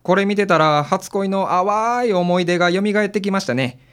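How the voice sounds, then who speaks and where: A man's voice: high-pitched, moderate pace, smooth. Flustered Kansai family man played by a male actor. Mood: wistful. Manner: hesitant, defensive, excitable. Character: flustered, sentimental married father, a male client. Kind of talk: audio drama acting.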